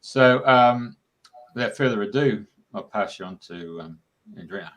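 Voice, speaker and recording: A man opens a radio show with a loose introduction full of fillers. His voice sits a little low. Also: moderate pace, a bit bright. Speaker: radio host. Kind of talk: show opening.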